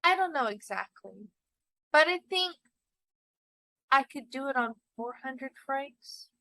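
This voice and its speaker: Woman reading as a plaintive, high-voiced discontented wife. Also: expressive, slow pace, fairly bright tone, no room sound, heavily acted, poor audio. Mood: hesitant, calculating. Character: discontented, vain, status-anxious young wife.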